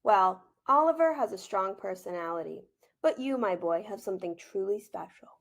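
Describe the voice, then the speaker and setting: A woman voicing a warm, wise grandfather in a picture book read-aloud, tender and reassuring. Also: mid-range voice, expressive, moderate pace, creaky. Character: warm, wise, affectionate grandfather of a little boy.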